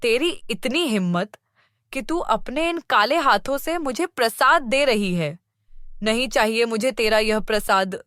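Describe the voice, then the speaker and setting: A woman's voice: a little high, expressive, measured pace, a bit bright, no room sound, clean studio sound. A woman playing a spiteful, sneering young sister. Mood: scornful. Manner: sneering, scornful. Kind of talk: cartoon story voice acting.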